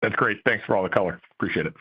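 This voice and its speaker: Male analyst, very deep pitch, polite questioning, muffled conference-call sound. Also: rapid-fire, husky. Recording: dull conference-call audio.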